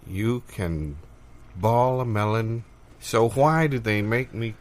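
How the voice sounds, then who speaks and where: A man playing a meek, unambitious job applicant in improvised audio comedy, halting and deadpan. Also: lowish voice. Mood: earnest, deadpan.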